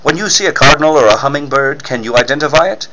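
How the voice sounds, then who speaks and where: A man's voice: on the high side, fast talker, background noise. A man reading scripted narration as a dramatic 1940s-style radio serial announcer.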